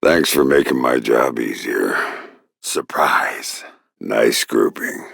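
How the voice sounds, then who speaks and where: An actor playing a gruff, wry, middle-aged covert agent in a video game, speaking tersely in a gravelly, often breathy growl with deadpan sarcasm. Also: male voice, rumbling low, slow pace, no room sound, studio quality, heavily acted. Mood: low, deadpan.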